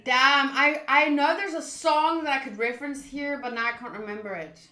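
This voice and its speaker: A young woman streaming a game, talking to chat in a playful, animated, jokey way. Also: sits high, moderate pace, echoey room, faint music behind. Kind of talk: casual livestream reaction.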